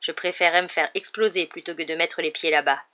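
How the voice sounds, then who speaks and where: A woman's voice: on the low side, steady tone, quick pace, muffled recording, lightly acted. A woman voicing a gloomy, nervous male bus driver from a storybook, grim and grumbling.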